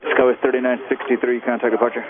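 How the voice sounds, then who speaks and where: A man's voice: mid-range voice, level tone, fast. A man working as an air traffic controller, speaking over an aviation radio. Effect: aviation radio transmission. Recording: tinny radio with clear static.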